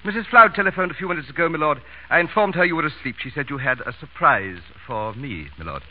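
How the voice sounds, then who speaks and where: An actor playing a discreet, deferential English valet with soft, liquid tones in a 1930s radio drama. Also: male voice, fairly high pitch, animated, fast talker. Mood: politely dutiful. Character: deferential English valet, soft-spoken perfect gentleman's gentleman. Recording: dull vintage radio broadcast recording.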